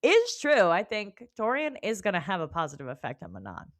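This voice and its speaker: A woman's voice: mid-range voice, huge pitch swings, a little fast. A woman co-hosting a book club podcast in casual chat, slangy and sweary, prone to giggling. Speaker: podcast co-host.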